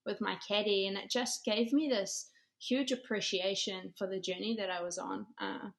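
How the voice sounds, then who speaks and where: A woman's voice: medium pitch, steady tone, moderate pace, vocal fry. A woman in her thirties with a New Zealand accent, talking conversationally as an interview guest and telling stories. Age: 30s. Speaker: podcast guest, former college golfer and ministry director.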